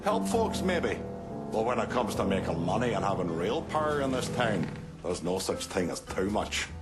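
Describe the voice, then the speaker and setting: Cynical, greedy gangster, loud music. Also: male voice, lowish voice, big pitch swings, moderate pace. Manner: crude, sardonic. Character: cynical, greedy, hard-boiled male gangster. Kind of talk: dramatic video game dialogue.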